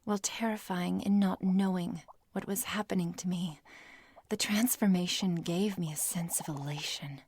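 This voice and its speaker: A woman playing an obsessive scientist who craves her serum, in a breathy, dramatic whispered ASMR roleplay with deliberate, formal wording. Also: lowish voice, steady tone, a little mellow. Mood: intense relief. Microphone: close ASMR microphone.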